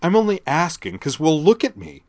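A man voicing a loud, scornful teenage girl tourist who snaps sarcastically at officers. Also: lively intonation, moderate pace, gravelly. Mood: scornful, bratty. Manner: snappish, sarcastic, shrill.

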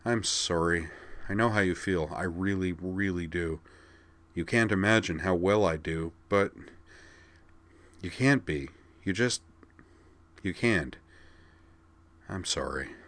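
A man voicing an exasperated young man who turns gentle and apologetic. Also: low voice, takes it slowly, long pauses, heavily acted. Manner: exasperated, then soft and apologetic. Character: exasperated young man, gruff but kind.

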